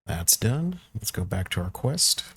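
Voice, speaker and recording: A man with a low, creaky voice talks through a software tutorial at a slow pace. He is informal and thinks aloud as he works, recorded close on the mic. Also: sing-song. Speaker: tutorial presenter. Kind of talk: casual screen-recorded software walkthrough.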